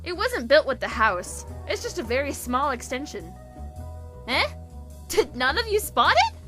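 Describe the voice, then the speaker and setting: A woman's voice: high voice, sing-song, a little slow, pushed and bright, faint music behind, heavily acted. A woman playing a boisterous, cheerful teenage rich girl in an audio drama, breezy and exclamatory. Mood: exuberant.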